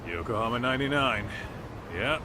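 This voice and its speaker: A man's voice: mid-range voice, expressive, normal speed, background noise, close to an everyday voice. An actor playing a blunt, joking male detective's sidekick in a video game cutscene. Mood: casual.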